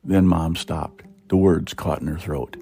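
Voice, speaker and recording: A man's voice: sits low, a little slow, vocal fry, soft background music. A man reading his own short story aloud in a dramatic, suspenseful first-person narration. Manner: expressive, suspenseful.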